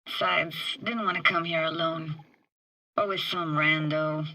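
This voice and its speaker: An actress playing a tough, sardonic but warm-hearted young woman in a video game, talking in casual, clipped slang. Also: deep voice, fairly even intonation, a little slow, exaggerated voice, poor audio. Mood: wistful, casual. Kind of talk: naturalistic video game voice acting.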